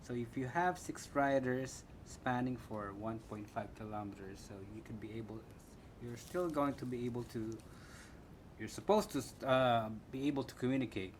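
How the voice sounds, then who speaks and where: A man with a Filipino accent giving a casual, halting unboxing commentary, thinking aloud as he goes. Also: mid-range voice. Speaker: product reviewer. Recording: clear background room noise.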